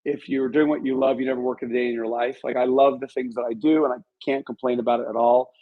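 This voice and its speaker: A man's voice: medium pitch, steady tone, quick pace, phone-quality sound. A middle-aged man speaking casually as an interview guest, telling stories in a candid, self-deprecating and chatty way. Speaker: interview guest, author and business owner.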